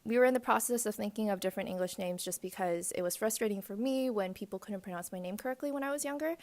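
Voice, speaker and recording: A young woman answers interview questions in a chatty, easygoing way. She talks at a middle pitch, with plenty of fillers. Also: quick pace, a little mellow. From Korea, raised in the United States. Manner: chatty, with fillers and light laughs. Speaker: interviewee, student.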